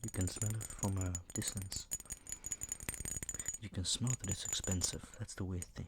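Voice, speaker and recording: Low-voiced man doing soft, casual ASMR. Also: fairly even intonation, moderate pace. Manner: soft-spoken, partly whispered, offhand. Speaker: ASMR video host. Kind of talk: ASMR, casual product chat. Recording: clear background noise, tapping and clicking sounds.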